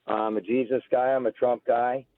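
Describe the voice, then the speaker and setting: A man's voice: mid-range voice, flat delivery, moderate pace, no room sound. Male guest, forties, American, casual personal storyteller on a dry, narrow-band radio call-in. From United States, Alabama-born, grew up near Seattle. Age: 40s. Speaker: guest, political candidate. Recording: muffled lo-fi call-in radio line.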